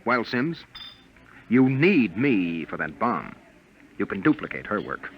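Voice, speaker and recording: An actor playing a tense, protective American husband on the run, guarded and earnest, in a 1950s radio drama. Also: male voice, on the low side, big pitch swings, measured pace, lo-fi sound. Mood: grim, earnest. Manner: guarded, earnest, hesitant with strangers. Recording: old radio recording, background chatter.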